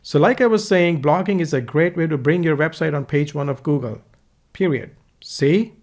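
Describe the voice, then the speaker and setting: A man with an Indian accent walks viewers through a software tutorial in a relaxed, instructive way. His voice sits a little high and has a gravelly edge. He speaks close to a USB desktop mic. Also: moderate pace. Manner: casual, instructive, dictates punctuation aloud. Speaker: online course instructor. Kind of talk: screen-recorded tutorial. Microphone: USB desktop microphone.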